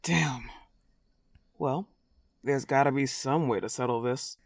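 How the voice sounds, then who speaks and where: A woman's voice: a bass voice, slow pace, no room sound, heavily acted. A werewolf girl, acted by a woman, recorded very dry. She is cocky and possessive, leaning on her words with playful, taunting emphasis, as in a comedic roleplay audio drama. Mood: wry.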